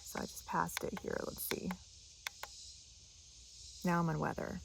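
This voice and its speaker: A woman's voice: on the low side, steady tone, measured pace, creaky, background noise. A woman giving a casual, chatty, instructional product demonstration. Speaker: product reviewer.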